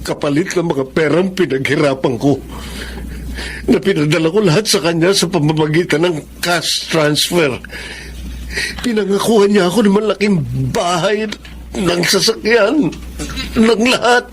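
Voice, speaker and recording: A man's voice: sits high, moderate pace, a little hiss or hum. A man playing a remorseful husband in a Filipino radio drama, speaking earnestly and emotionally. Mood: remorseful, distressed. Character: remorseful husband and newspaper artist confessing his mistakes.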